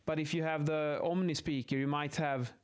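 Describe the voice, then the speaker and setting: A man with a slightly high voice teaches in an informal, improvised way. He thinks aloud as he explains, often halting and rephrasing mid-sentence like an instructor working something out as he goes. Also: steady tone, smooth. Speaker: acoustics instructor explaining measurement methods. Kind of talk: informal explanatory lesson, improvised while sketching.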